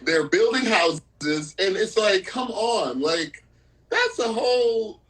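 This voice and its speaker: Male podcast host, higher-pitched, chatty and frank, laughs easily. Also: sing-song, slow pace, fairly bright tone, low-quality recording. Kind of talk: casual podcast chat.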